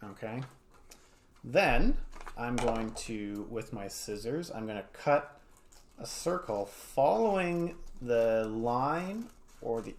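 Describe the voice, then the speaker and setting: Man, mid-pitched voice, art teacher, informal step-by-step instructions, rambling asides, faint noise and clicks behind. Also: animated, slow pace. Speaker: art educator, host. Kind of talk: casual step-by-step craft tutorial. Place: home studio. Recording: clear background noise, small clicks.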